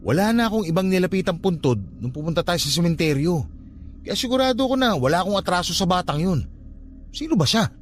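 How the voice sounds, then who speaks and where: A stubborn young nephew whos hurting, high voice, emotional radio drama with music behind. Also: male voice, lively intonation, fast. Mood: uneasy, subdued. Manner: emotional, questioning. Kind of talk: Tagalog radio drama acting.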